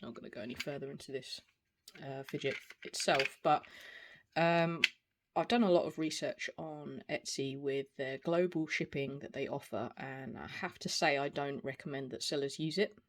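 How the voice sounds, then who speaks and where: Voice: mid-range voice, moderate pace. A person in their forties giving a casual, unscripted product review in a hesitant, rambling way. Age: 40s. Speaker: video reviewer. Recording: clear background noise, small clicks.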